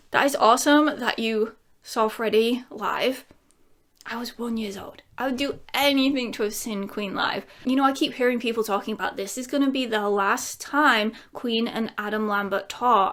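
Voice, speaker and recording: Casual YouTuber woman, 30s, rambling Q&A chat, laughs easily, slightly higher voice. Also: fairly bright tone. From England. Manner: chatty and giggly, rambling with many fillers; breaks into bits of song. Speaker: YouTuber answering viewer questions.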